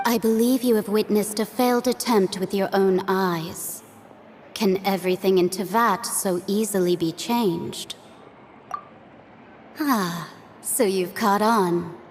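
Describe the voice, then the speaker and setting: An actress voicing a mysterious, serene, all-knowing woman in a video game, measured and cryptic. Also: medium pitch, fairly even intonation, a little hiss or hum, lightly acted. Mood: cryptic, calm. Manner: measured, cryptic, gently teasing.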